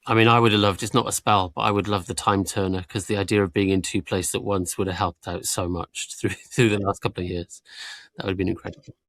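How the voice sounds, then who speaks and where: A low-pitched man in a conversational panel discussion. He speaks reflectively and puts emphasis on key words. The sound is dry and close. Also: little pitch movement, fast talker, fairly bright tone, dry recording. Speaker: production team member on a panel.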